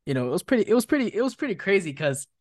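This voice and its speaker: A man's voice: high voice, very animated, racing, a little mellow, no room sound, studio quality. A young American man from Chicago chatting casually as an interview guest, using lots of slang and repeating phrases as he goes. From Chicago, United States. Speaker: music producer guest.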